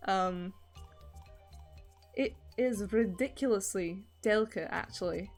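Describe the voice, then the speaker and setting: A woman's voice: medium pitch, takes it slowly, soft background music. Young Scottish-Canadian-accented woman rambling casually. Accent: mixed Edinburgh Scottish and Canadian. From Scotland. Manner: rambling and candid, with loose swearing. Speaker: streamer. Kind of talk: casual stream chat.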